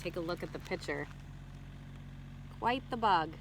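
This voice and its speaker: A woman's voice: lowish voice, animated, a little slow, a little hiss or hum, rough recording. A woman teacher reading a picture book aloud to children expressively, with instructive asides and questions to check in.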